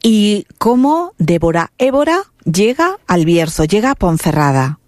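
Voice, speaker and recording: A woman's voice: medium pitch, sing-song, unhurried, a little mellow, no room sound, clean studio sound. A woman interviewer asking chatty, leading questions.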